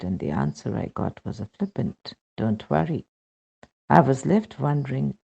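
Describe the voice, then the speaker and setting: A man with an Indian accent and a husky, gravelly voice reads a first-person devotional account aloud as scripted narration, in a dull lo-fi recording. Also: mid-range voice, dark tone. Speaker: reader of a devotional testimonial.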